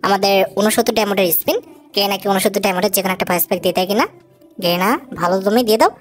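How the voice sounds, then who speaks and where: A woman's voice: medium pitch, steady tone, very fast. A woman with a Bangladeshi accent hosting a gaming video, chatting casually to viewers as she comments on her gameplay. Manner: chatty, addresses viewers directly with casual asides. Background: background music.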